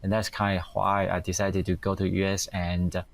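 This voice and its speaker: A middle-aged man from Taiwan with a Taiwanese accent, giving halting, reflective interview answers as a guest. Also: deep voice, completely flat delivery, vocal fry, dry recording. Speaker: guest, company founder and engineer.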